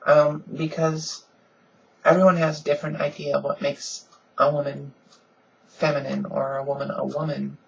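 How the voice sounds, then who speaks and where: Woman, deep softened voice, gravelly, flat delivery, earnest, lots of ums, dull lo-fi webcam sound. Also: moderate pace. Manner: frequent "um"s, earnest and conversational. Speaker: vlogger. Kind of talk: casual response vlog. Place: at home, in pajamas.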